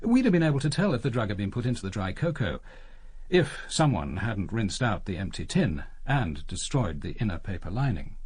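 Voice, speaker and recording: An actor with a British accent playing a courteous, persistent police inspector questioning people in a radio drama. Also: male voice, on the low side, sing-song, darkish tone, slightly rough, dry recording. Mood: dry, composed. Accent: British English. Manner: measured, questioning, polite.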